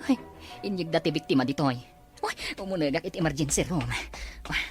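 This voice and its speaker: A woman's voice: sits low, brisk, over music, natural voice. A woman acting as an anxious, exclaiming friend fretting over a crisis in an Ilocano audio drama. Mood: worried, distressed.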